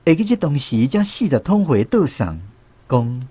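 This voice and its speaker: A man's voice: on the high side, big pitch swings, moderate pace, mellow, slightly rough, no room sound. A man narrating a radio drama in the first person, reminiscing like a storyteller with a Taiwanese accent.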